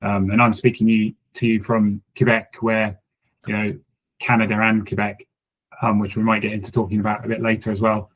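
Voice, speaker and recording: A man's voice: lowish voice, little pitch movement, fairly quick, low-quality recording. A man hosting a podcast, asking long, tentative questions. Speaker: podcast host. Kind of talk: podcast interview.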